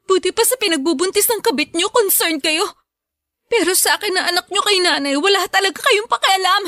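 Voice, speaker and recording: High-voiced, wounded Filipina daughter. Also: female voice, brisk, bright, forceful tone, no room sound, studio quality. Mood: bitter, resolute. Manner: emotional, accusing. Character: hurt, pleading, bitter grown daughter confronting her father. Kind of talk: Filipino radio drama acting.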